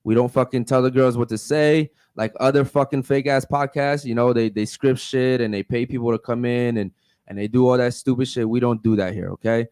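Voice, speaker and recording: Dude hosting a podcast, swears a lot, hyped up, dry close sound. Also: male voice, mid-range voice, fairly even intonation, fairly quick, darkish tone, clean tone, no room sound. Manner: loose and profane, hyped. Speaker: podcast host. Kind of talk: casual podcast chat.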